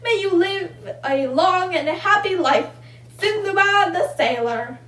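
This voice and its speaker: A woman's voice: very high voice, expressive, takes it slowly, a bit bright, reverberant, far from the mic, voice pushed up, exaggerated voice. A woman voicing a humble, grateful male porter in a storybook, speaking formally and emphatically. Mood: grateful, admiring.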